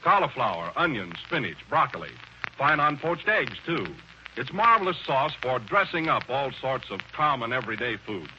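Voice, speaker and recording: A male American announcer delivers a scripted 1930s-style radio advertisement with emphatic polish. His voice is very bright and forceful, moving animatedly up and down. It sits on a lo-fi, faintly hissy old recording. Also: medium pitch, very animated, normal speed.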